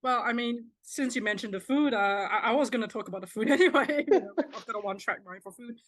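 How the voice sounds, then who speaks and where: A woman's voice: fairly high pitch, fast talker, a bit bright. Chatty young woman from Malaysia. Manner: chatty and friendly, with uh and um fillers. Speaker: podcast guest. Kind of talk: casual interview.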